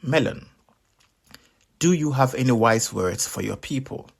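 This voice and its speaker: A man's voice: medium pitch, expressive, measured pace, vocal fry. A man voicing a proud, wonderstruck old king in a children's story, proclaiming grandly.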